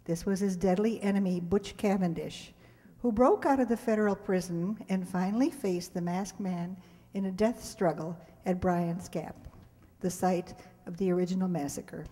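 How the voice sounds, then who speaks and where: A woman in her seventies reads a scripted introduction to an audience at a stage microphone, measured, with wry asides. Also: on the low side, fairly even intonation, warm tone. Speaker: presenter introducing a stage radio play. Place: stage in a community hall, indoors. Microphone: handheld-style microphone on a stand. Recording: hall reverb from stage microphone.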